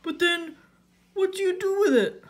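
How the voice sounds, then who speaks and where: A woman voicing a loud, dim, good-natured male cartoon starfish with a childlike, blustery manner. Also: very high voice, animated, moderate pace, voice pushed up. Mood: puzzled.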